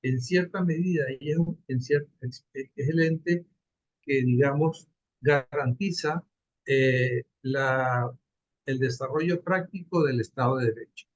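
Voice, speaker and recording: A man from Venezuela presenting a project in an online class, earnest and halting, with fillers. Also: medium pitch, no room sound. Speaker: course participant presenting a project. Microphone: video call. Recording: dull dry video call audio.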